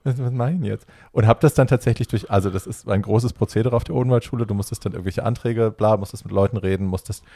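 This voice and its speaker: A young adult man telling a personal story in casual chat, acting out the dialogue with lively energy. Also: lowish voice, fast, gravelly, few pauses, no room sound, clean studio sound.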